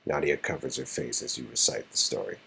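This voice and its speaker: Low husky man narrating casually. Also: brisk, pushed and bright. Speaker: narrator and co-reader in a story game playthrough. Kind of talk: casual story narration with asides.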